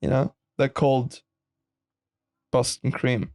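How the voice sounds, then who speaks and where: A man's voice: medium pitch, little pitch movement, slow pace, darkish tone, dry recording, clean studio sound. A man co-hosting a podcast, teasing his friend and laughing often in casual chat. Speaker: podcast co-host. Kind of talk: casual banter.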